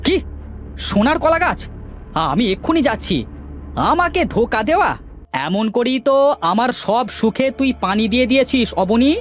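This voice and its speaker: A man's voice: very high voice, big pitch swings, a little slow, pauses often, faint music behind, exaggerated voice. A man voicing a greedy, hot-tempered older village orchard owner in a cartoon, blustering and indignant. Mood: greedy shock. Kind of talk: children's moral cartoon acting.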